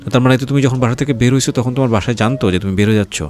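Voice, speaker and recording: A man's voice: medium pitch, very fast, a little mellow, faint music behind. A man with a Bangladeshi accent hosting an interview, speaking informally and asking probing, prodding questions. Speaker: radio show host interviewing guests.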